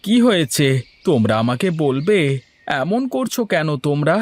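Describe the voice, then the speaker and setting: A man's voice: fairly high pitch, big pitch swings, normal speed, darkish tone. A man playing an earnest, anxious young village husband in a melodramatic cartoon. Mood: agitated.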